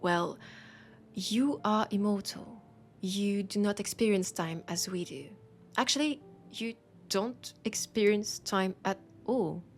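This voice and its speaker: A woman with a French accent playing a teasing, curious bookshop keeper in an intimate audio roleplay, speaking softly and musingly and often slipping toward a whisper. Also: medium pitch, slow, a little hiss or hum. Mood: musing, gentle. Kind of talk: intimate audio roleplay, soft acted monologue.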